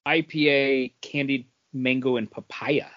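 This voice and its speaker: Male podcast co-host, relaxed conversational delivery, medium pitch, dull lo-fi audio. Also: a little slow. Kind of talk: casual chat, describing drinks and comics.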